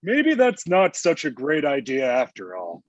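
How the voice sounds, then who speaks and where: A man's voice: fairly high pitch, huge pitch swings, measured pace, lo-fi sound, heavily acted. A man playing a grumpy, ancient Santa Claus in a comedy table read, with a blunt, testy, conversational manner. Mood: stern.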